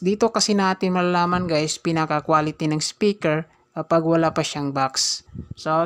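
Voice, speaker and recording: A man in a casual review vlog, explaining a speaker step by step and often calling his viewers "guys", recorded indoors at night. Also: fairly high pitch. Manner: chatty, explains step by step, keeps saying "guys".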